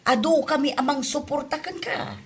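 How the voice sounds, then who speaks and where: A woman playing a supportive, caring mother in an Ilocano comedy drama, with a husky voice. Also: high-pitched, normal speed. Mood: tender.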